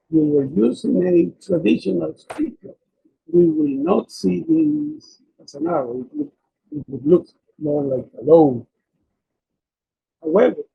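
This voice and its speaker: A man giving an explanatory academic slide presentation, speaking haltingly and often repeating and rephrasing himself. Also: a little high, gravelly, breathy edge, narrow, tinny audio. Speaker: presenter of a technical talk.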